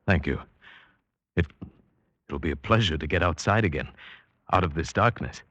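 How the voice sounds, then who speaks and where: Deep, intense trapped adventurer, old radio drama. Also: male voice, normal speed, fairly bright tone, dry recording, highs cut off. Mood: relieved, admiring. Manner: intense, theatrical storytelling. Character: sighted traveller trapped among blind villagers, proud, desperate. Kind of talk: 1940s radio drama acting and narration. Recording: old radio recording with music behind.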